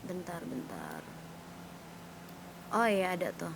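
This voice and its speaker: A woman chatting casually and excitedly as she plays a game with friends, giggly and full of slang. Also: on the low side, takes it slowly, vocal fry. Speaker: streamer and roleplay gamer. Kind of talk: casual gameplay chat with friends. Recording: noisy game voice chat.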